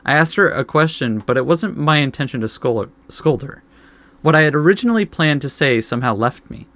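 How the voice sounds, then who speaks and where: A man's voice: on the high side, lively intonation, fairly quick, fairly bright tone, dry recording. A man hosting a let's play, reading a visual novel aloud with informal commentary and stumbling over the text now and then.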